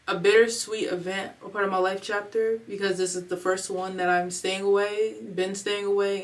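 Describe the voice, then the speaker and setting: A woman's voice: medium pitch, recorded from a distance. Candid young woman vlogging, a bit off-mic. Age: 20s. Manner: candid, rambling, slangy, goes on tangents. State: emotional, fighting back tears. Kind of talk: casual confessional vlog talk. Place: home.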